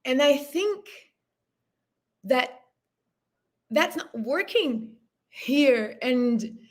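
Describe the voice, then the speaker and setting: A woman in her thirties with a Brazilian Portuguese accent speaks on a video call, her voice sitting a little high. She talks with raw, earnest emotion and often pauses to find the right English word. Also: lively intonation. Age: 30s. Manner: emotional, earnest, searching for words. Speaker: panel guest. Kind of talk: impassioned panel discussion.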